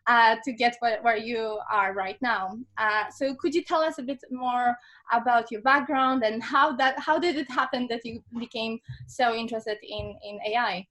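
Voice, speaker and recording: A woman hosts an interview in a high voice. She introduces her guest and asks questions haltingly, with frequent "uh" and restarts. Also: a bit bright. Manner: hesitant, many fillers and restarts. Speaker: interview host. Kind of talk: interview hosting.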